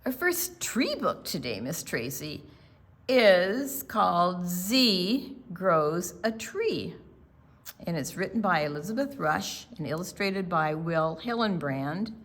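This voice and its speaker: An older woman reading aloud and explaining things to young children in a deliberate, teacherly, expressive way. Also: lowish voice. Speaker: children's storytime presenter.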